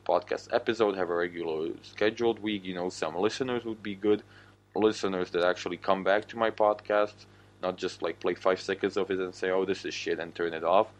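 Young slovak guy with a deep voice, talks blunt and swears a lot on his podcast. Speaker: podcast host. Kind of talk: casual podcast monologue.

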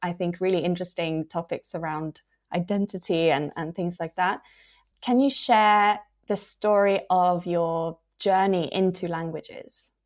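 A woman hosting a conversational interview, introducing the topic and asking her guest friendly, measured questions. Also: lowish voice, fairly even intonation. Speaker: podcast host.

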